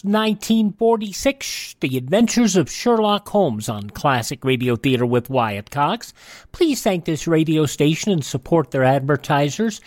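A man's voice: on the high side, dry recording, studio quality. A man hosting a radio show with folksy, scripted narration. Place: dry studio.

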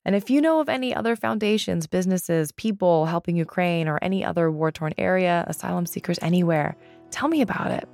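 Woman, podcast host, raspy today, gravelly, dry close sound, earnest and chatty. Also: fairly low pitch, brisk, a little mellow, no room sound, soft background music. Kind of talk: solo podcast episode.

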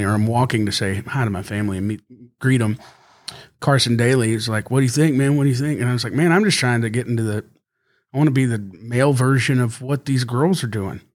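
A man who is a country singer, chatting casually as a podcast guest and rambling easily with frequent laughs. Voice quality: high singing voice. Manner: easygoing, rambling, laughs often.

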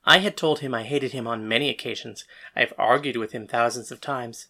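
Death god, narrating lead in audio drama: female actor, deep-pitched, brooding, bitter, defiant. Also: steady tone, fairly quick, bright, forceful tone, heavily acted. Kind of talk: first-person dramatic narration and dialogue.

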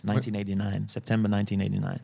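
Podcast guest: man, early 40s, low gravelly voice, calm and steady, tags lines with "yeah?". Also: rapid-fire. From Fiji. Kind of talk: personal storytelling in a podcast interview.

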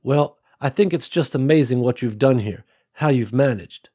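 A man's voice: medium pitch, moderate pace, dark tone, breathy edge, close to an everyday voice. A man voicing a young woman who speaks earnestly and a bit hesitantly about being a reluctant leader.

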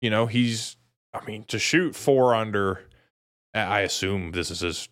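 A man's voice: fairly low pitch, unhurried, fairly bright tone, no room sound, studio quality. A young American man from Dallas co-hosting a sports podcast, chatting casually about golf in slangy, informal talk. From Dallas, Texas, United States.

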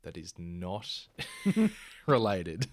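A man with a fairly low voice banters as a co-host. He is jokey and sweary, repeats himself in loose casual talk, and sounds dry and close. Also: lively intonation, drawn-out words, no echo. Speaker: podcast co-host. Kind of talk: casual chat about films and shows.

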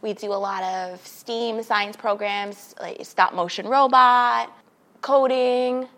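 A woman's voice: on the high side, fairly even intonation, slow pace, fairly bright tone. Upbeat, chatty woman from New York. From Queens, New York City. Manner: upbeat, chatty, laughs often. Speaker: podcast guest, children's librarian. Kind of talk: casual interview chat.

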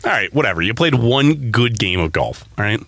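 A young man co-hosting a casual sports podcast, teasing his friend and getting animated about results. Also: brisk, vocal fry, dry recording. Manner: teasing, animated, jumps up in pitch when excited. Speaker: podcast co-host.

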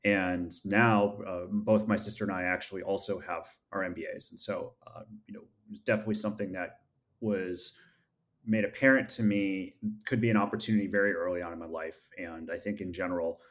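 A man from upstate New York, a podcast guest, answering in a measured, earnest way with frequent filler sounds. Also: sits low, steady tone, a bit bright. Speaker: podcast guest, MBA graduate and founder. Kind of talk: reflective interview answers.

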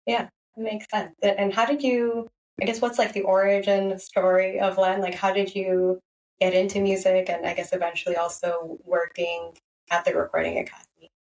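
A woman's voice: mid-range voice, flat delivery, normal speed, darkish tone, far from the mic, rough recording. A woman hosting a podcast interview, asking hesitant, filler-heavy questions. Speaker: podcast host.

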